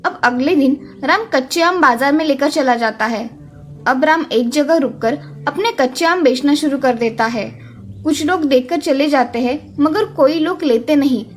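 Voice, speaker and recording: A woman's voice: high-pitched, moderate pace. A woman reading a scripted children's story as its narrator. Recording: music under the voice.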